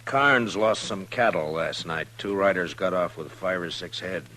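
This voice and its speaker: An actor playing a middle-aged, laconic frontier lawman in a 1950s radio western, speaking in a measured, patient way. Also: low-pitched, bright, forceful tone, exaggerated voice. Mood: steady, firm. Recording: faintly noisy old radio recording.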